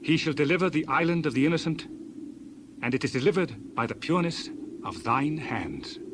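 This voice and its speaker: Man acting a higher-voiced, stern biblical counselor. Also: animated, brisk, noisy background, close to an everyday voice. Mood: earnest exhortation. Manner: preachy, declamatory. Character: stern, admonishing friend of Job, a righteous counselor. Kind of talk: dramatized scripture reading.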